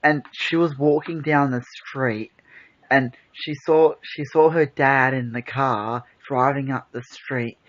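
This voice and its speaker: A man's voice: mid-range voice, fairly even intonation, slow pace. Young Australian man, loose chat. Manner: loose, swearing storyteller with fillers. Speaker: podcast co-host. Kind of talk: casual chat. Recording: dull lo-fi sound.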